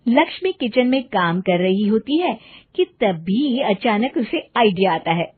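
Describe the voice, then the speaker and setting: A woman's voice: mid-range voice, sing-song, measured pace, slightly rough, phone-quality sound. A woman reading scripted storytelling narration for a cartoon.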